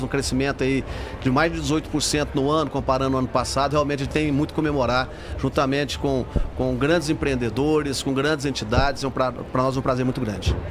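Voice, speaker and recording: A Brazilian man speaking as a bank executive guest in a casual interview at a trade fair. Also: mid-range voice. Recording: faint noise of a busy fair.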